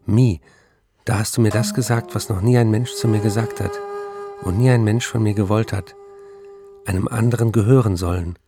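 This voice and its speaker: Low-voiced love-struck young writer played by a man. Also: steady tone, moderate pace, over music, lightly acted. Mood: adoring, pleading. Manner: confessional, repetitive, fervent address. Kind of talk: radio drama reading of intimate letters.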